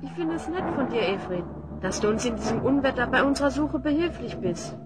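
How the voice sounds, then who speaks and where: A woman's voice: sits high, animated, fairly quick, over loud music. A woman playing a brave young child hero, earnest and questioning, in a fantasy audio drama. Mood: curious.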